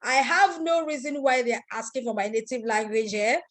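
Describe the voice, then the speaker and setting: A woman's voice: a little high, normal speed. A Nigerian woman, a native Igbo speaker, teaching an online class over a video call, explaining step by step, repeating herself and asking attendees questions. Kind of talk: live screen-share tutorial.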